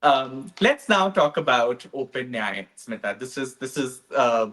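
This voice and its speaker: Man interviewing with measured questions. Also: medium pitch, expressive, fairly bright tone. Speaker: podcast host.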